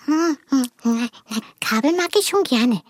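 A woman's voice: high voice, animated, a little slow, no echo, clean studio sound. A woman voicing a timid, chatty little female mouse who stammers nervously in a children's TV puppet play.

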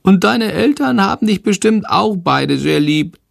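A man's voice: sits high, lively intonation, measured pace, creaky, no echo, clean studio sound, voice pushed up, exaggerated voice. A man voicing a small, kindly talking bat in an audiobook. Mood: tender. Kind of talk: audiobook character voice.

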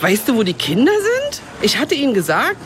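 Snappy scolding kita teacher, highish voice that jumps around. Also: female voice, huge pitch swings, crowd noise. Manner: scolding, brisk. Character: brisk, scolding female kindergarten teacher.

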